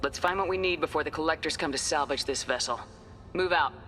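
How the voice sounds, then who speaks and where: An actress playing a resolute, grim military starship commander in a video game, with a clipped, decisive manner. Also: female voice, low-pitched, fast, bright, forceful tone, faint music behind. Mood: commanding.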